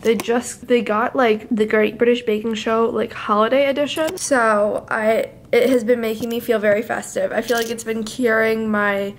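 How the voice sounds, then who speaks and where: A woman's voice: a little high, slight background noise. A young woman vlogging at home in casual chat, rambling with lots of filler words and holding the camera at arm's length in her bedroom. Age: young adult. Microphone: camera held at arm's length.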